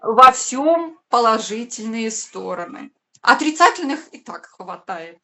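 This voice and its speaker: A woman with a fairly high voice co-hosts a casual news chat. She reads viewer comments aloud and answers them in short, plain remarks. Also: very animated, a little slow, bright, forceful tone. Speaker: co-host.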